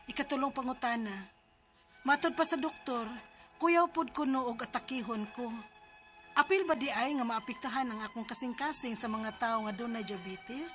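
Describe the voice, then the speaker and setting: A woman's voice: fairly high pitch, normal speed, a bit bright, faint music behind. A woman playing herself in a radio drama, then reading her worried letter asking for advice. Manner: worried, pleading. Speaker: letter sender and drama lead.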